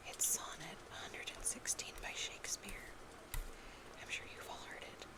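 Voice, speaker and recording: A woman performing whispered ASMR roleplay as a poetry reader, breathy and gentle, in a deliberate manner. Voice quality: breathy whisper with creaky edges.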